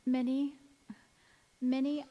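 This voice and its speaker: A woman plays an anguished, devoted young wife in a radio drama. Her voice sits fairly high and moves very slowly, with earnest melodrama. It rings through a reverberant hall with music behind. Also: little pitch movement, highs cut off. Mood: desperate, resolute. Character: anguished, devoted young-looking wife cursed never to age. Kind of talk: stage radio-play acting. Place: large reverberant church or hall.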